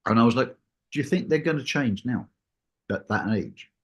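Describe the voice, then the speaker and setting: A man's voice: medium pitch, expressive, normal speed. A man in casual conversation, talking in a rambling, anecdotal way and acting out bits of reported dialogue.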